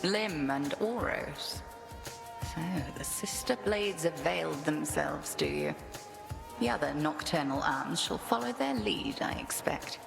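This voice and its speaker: A woman's voice: deep voice, expressive, measured pace, gravelly, heavily acted. A woman playing a stern, theatrical witch mentor in a video game, speaking in grand, archaic, formal phrases. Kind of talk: dramatic video game acting. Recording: echoing chamber, music underneath.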